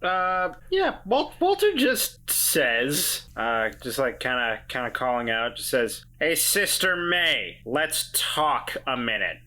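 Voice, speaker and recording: A man's voice: medium pitch, wildly swooping pitch, takes it slowly, hardly any pauses, bright, forceful tone. A man playing a tabletop game, talking casually and hesitantly as he works out what his character says.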